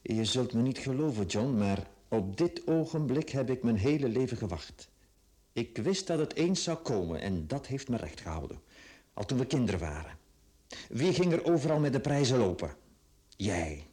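A man's voice: mid-range voice, big pitch swings, moderate pace, warm tone, natural voice. A man acting a resentful, naive, underpaid bookkeeper in a radio play, earnest and aggrieved, with a Flemish accent. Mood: bitter, indignant.

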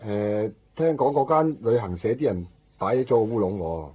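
A man's voice: lowish voice, lively intonation, moderate pace, breathy edge. A man playing an eager, chatty junior policeman in a radio drama, with a Hong Kong Cantonese accent. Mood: hesitant. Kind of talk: 1990s radio drama acting. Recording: dull, band-limited radio recording.

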